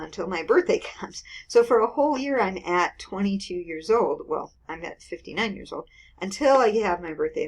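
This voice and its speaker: Woman, 50s, math teacher, lowish voice, informal lecture with homey examples. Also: creaky, slightly rough. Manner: chatty, explains with everyday examples, frequent "okay" and "right".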